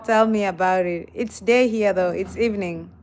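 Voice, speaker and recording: A woman's voice: medium pitch, moderate pace, a little mellow. A tired young woman talking casually on a livestream, greeting viewers and reading out their comments.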